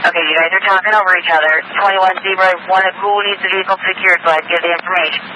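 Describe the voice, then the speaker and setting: Male police dispatcher, high voice, clipped procedural relays over a noisy two-way radio scanner feed. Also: little pitch movement, rapid-fire. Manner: procedural, clipped, repeats details back. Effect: two-way radio transmission. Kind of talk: police radio dispatch, repeating reports in code.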